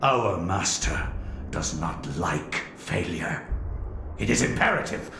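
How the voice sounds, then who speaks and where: A man's voice: low voice, lively intonation, takes it slowly, exaggerated voice. A man playing a stern, cold servant of a sinister master in a video game, grave and imperious in manner. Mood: cold insistence. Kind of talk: scripted video game villain acting. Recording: echoey room, clear background noise.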